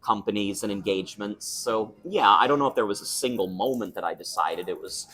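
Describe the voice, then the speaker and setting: A man's voice: fairly low pitch, fairly quick, a crowd in the background. A young man who sings opera, chatting thoughtfully in an interview at a restaurant. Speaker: opera singer, guest. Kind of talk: casual interview chat.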